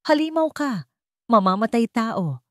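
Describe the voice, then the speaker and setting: A woman's voice: fairly high pitch, sing-song, unhurried, dry recording, studio quality, voice pushed up. A wronged wife from a melodramatic audio story, voiced by a woman narrator. She is pregnant, frightened and defiant, and she speaks with raw accusation and resolve. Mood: furious, frightened.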